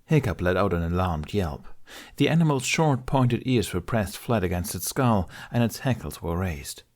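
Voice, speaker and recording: A man's voice: low-pitched, expressive, moderate pace. A man reading scripted story narration as an audiobook narrator.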